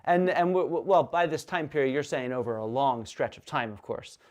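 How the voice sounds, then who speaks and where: Guy hosting an online chat, mid pitch, rambly and laughing a lot. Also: fairly even intonation, a little fast, darkish tone. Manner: chatty, laughs easily, stumbles and restarts. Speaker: host. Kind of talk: casual interview.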